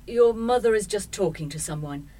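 A woman's voice: medium pitch, lively intonation, normal speed, mellow, close to an everyday voice. A woman playing a friendly, kindly grown-up talking to a child in a radio play.

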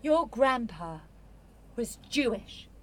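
An actress playing a guarded, blunt young student in a radio drama, speaking in a clipped, defensive way. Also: female voice, a little high, big pitch swings, slow, exaggerated voice. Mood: defensive, upset. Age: young adult.

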